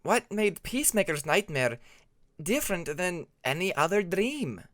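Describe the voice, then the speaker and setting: A man voicing a puzzled, doubtful female dragon with a deliberate, questioning delivery in a narrated fantasy story. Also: high voice, very animated, fairly bright tone, no echo, studio quality, pitched above the performer's own voice, full character voice. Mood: puzzled, skeptical.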